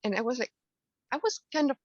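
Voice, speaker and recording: A woman fan on a video call sharing a slow, earnest comment, stammering and giggling a little. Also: high-pitched, animated, no echo, dull audio. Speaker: fan asking a question.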